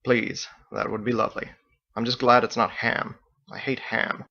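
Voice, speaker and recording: Man as a gravelly, courtly old sailor captain. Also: mid-range voice, level tone, moderate pace, sharp tone, highs cut off. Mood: courteous.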